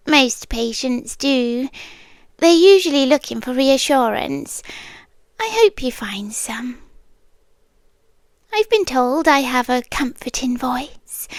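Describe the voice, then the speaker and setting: A British woman playing an eerily calm, soothing doctor with a hidden possessive streak, in a soft, breathy, whispered ASMR roleplay, close to the mic. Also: high voice, no echo, heavily acted. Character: softly menacing, falsely soothing female hospital doctor, possessive girlfriend. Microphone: close, dry ASMR microphone.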